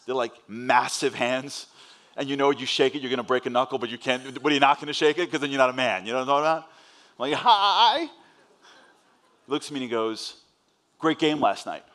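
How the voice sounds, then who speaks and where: A man with a New York accent giving a fast-paced inspirational speech on a large stage, telling a story and acting out the dialogue. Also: mid-range voice, a bit bright. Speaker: motivational speaker.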